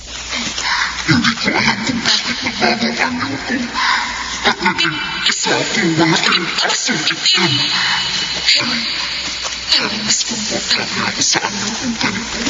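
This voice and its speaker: Slow, swooping monologue of a cursed dog-woman. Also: medium pitch, lively intonation, heavy background noise. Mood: uneasy, craving. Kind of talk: radio horror drama monologue. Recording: dull radio audio under loud music.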